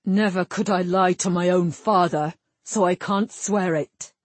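A proud young prince from a fantasy audiobook, played by a woman, earnest and unbending. The voice is husky, with formal, old-fashioned directness. The recording is very dry. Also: lowish voice, fairly even intonation, unhurried, no echo. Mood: defiant. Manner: formal archaic speech, direct.

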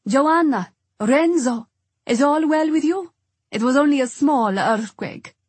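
A woman's voice: high-pitched, lively intonation, unhurried, no echo, voice pushed up. A woman voicing a concerned woman calling out with a raised voice in an audiobook.

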